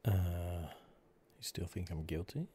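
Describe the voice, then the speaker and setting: Super deep voiced guy doing soft asmr game commentary, musing along, noisy game sound behind. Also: slow pace. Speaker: gaming video host. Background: video game sound.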